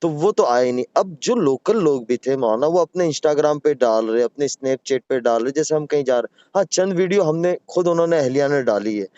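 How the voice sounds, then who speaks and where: Male caller, slightly high voice, deferential and earnest in explaining himself, dull phone-call recording. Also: animated, normal speed, hardly any pauses, warm tone, clean tone, dry recording. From Gujarat, India. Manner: deferential, earnest, repeatedly addressing the listener respectfully. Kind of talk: private phone conversation, pleading explanation.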